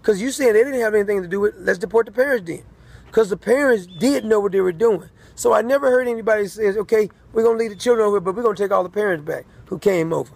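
A man's voice: high voice, fast, gravelly, a little mellow, poor audio. A man delivering a rambling political rant, repeating himself emphatically. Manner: repetitive, emphatic.

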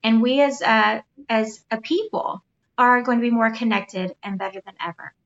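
A woman with a high, sweet voice, co-hosting a casual chat and earnestly encouraging her listeners. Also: moderate pace, gravelly, muffled recording.

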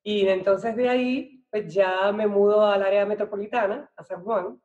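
A woman's voice: medium pitch, normal speed. Puerto rican lady guest, chatty, lots of "pues", a bit dull lo-fi sound. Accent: Puerto Rican Spanish. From Puerto Rico. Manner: relaxed, conversational, with "pues" and "este" fillers. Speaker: guest, finance expert and bank owner. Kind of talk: interview storytelling.

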